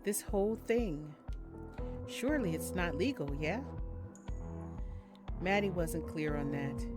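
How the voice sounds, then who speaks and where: Woman doing a chill lets play, reads stuff out slowly, lowish voice, music going behind her. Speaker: game streamer reading visual novel dialogue aloud. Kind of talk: casual let's-play commentary with read-aloud.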